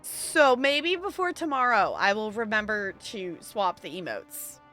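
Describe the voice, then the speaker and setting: A woman's voice: mid-range voice, animated, measured pace, pushed and bright, soft background music. A woman live-streaming, chatting casually with her viewers in a rambling, giggly way.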